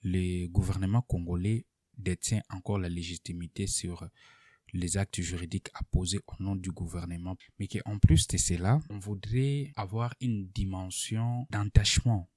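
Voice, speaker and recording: Male political analyst for online video: very low, warm voice, unscripted and deliberate, pausing as he explains. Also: fairly even intonation, no echo, studio quality.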